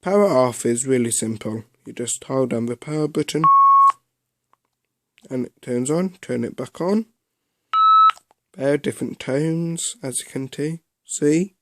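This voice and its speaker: A man giving a casual, unscripted product review in a halting way, often repeating himself. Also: medium pitch, mellow.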